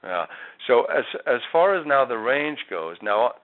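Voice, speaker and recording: A man's voice: medium pitch, sing-song, measured pace. Guy teaching singing over a call, patient, explains stuff step by step, tinny sound. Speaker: voice coach. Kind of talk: one-on-one singing lesson. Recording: tinny call line, faint hiss.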